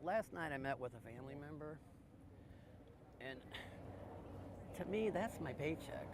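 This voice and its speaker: Older guy talking heartfelt in an interview, slightly high voice, cars and noise behind. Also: expressive, a little slow. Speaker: interviewee, retired carpenter. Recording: clear outdoor noise, vehicles.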